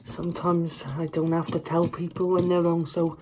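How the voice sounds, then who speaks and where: An actor playing a grieving, literal-minded young person in a stage monologue, speaking haltingly and earnestly with repeated phrases. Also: mid-range voice, completely flat delivery, background noise, natural voice. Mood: subdued.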